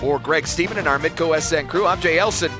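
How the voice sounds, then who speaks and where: A man in his fifties, a play-by-play announcer giving a postgame recap from a courtside desk in an arena, speaking into a headset mic in a steady broadcaster delivery. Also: fairly high pitch, quick pace, music in the background.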